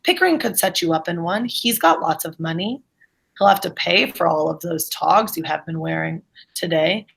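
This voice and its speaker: A husky, dismissive middle-aged gentleman, read by a woman in an amateur readers' theatre piece. He talks down in emphatic, old-fashioned phrases, brusque and sure of himself. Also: on the low side, expressive, normal speed, voice pushed down, exaggerated voice, poor audio. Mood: breezy.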